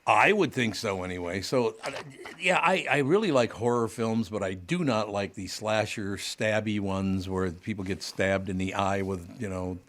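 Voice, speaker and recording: A radio host with a fairly low male voice interviews a guest. He is jokey and effusive, piling on praise. His sentences ramble and restart as he talks. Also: normal speed, hardly any pauses, a bit bright.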